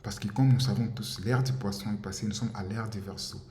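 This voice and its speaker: A man's voice: on the low side, fairly quick, mellow, a little hiss or hum. A man from the DR Congo, giving an impassioned monologue, insistent and repetitive, often stumbling over words. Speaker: commentator. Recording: roomy echo with faint ambience.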